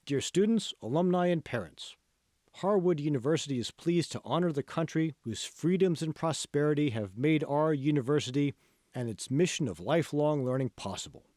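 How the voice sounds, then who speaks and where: A man's voice: a little high, lively intonation, normal speed, a little mellow, no room sound, voice pushed up, close to an everyday voice. A formal university official , voiced by a male audiobook narrator, declaims a letter. The delivery is ceremonious and emphatic, and the sound is close and dry. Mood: formal, proud.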